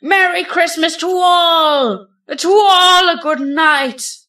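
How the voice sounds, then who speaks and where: A woman voicing an excitable, earnest male skeleton who plays Santa, declaiming dramatically in a storybook poem. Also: very high voice, takes it slowly, pitched above the performer's own voice, heavily acted. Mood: triumphant cackling.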